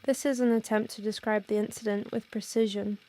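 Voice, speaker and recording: A woman's voice: medium pitch, level tone, fairly quick, mellow, close to an everyday voice. A woman playing a rational researcher in a horror audio drama, giving recorded testimony in formal, careful, clinical language. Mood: controlled, uneasy. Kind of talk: scripted found-audio horror monologue.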